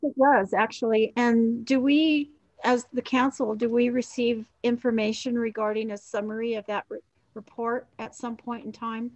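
A woman's voice: on the high side, little pitch movement, normal speed, darkish tone, poor audio. A woman in her fifties chairing a formal council meeting on a video call and putting questions.

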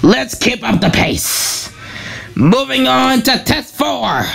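A woman voicing a pompous, gloating male mad scientist with a creaky voice in an amateur comic dub. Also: fairly high pitch, very animated, slow, heavily acted. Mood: clinical, commanding. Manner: pompous, commanding, taunting.

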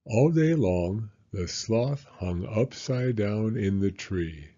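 An older man reading a children's picture book aloud deliberately, with pauses between words. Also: on the low side, expressive, warm tone, highs cut off. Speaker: storyteller.

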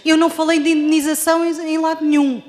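A woman with a European Portuguese accent and a high voice, speaking as a deputy in a municipal assembly. Her delivery is formal and measured. The sound has the long reverb of a large council chamber. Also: level tone, music in the background. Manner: formal and measured, then more direct when restating her questions. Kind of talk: formal statement at a council meeting.

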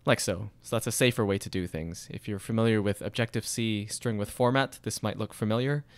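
Lowish smooth male coding instructor. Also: brisk, no echo. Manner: explains while typing, steady and methodical. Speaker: programming tutorial instructor. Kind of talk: screencast coding walkthrough.

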